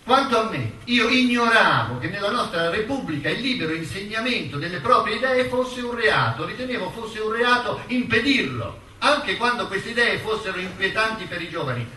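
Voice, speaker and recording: Defiant intellectual, high-pitched and echoing. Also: male voice, expressive, normal speed, few pauses, pushed and bright, a little hiss or hum. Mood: dignified defiance. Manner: declamatory, precise, ironic. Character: defiant male intellectual on trial, articulate and proud. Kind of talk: documentary radio theatre monologue. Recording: roomy, distant stage sound with music.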